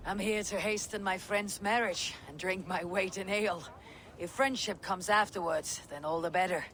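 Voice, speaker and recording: A woman acting a self-assured Viking chieftain in a game cutscene. She speaks in a measured, wry, courteous way, with faint noise behind her. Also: mid-range voice, a bit bright, lightly acted. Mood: proud, wry.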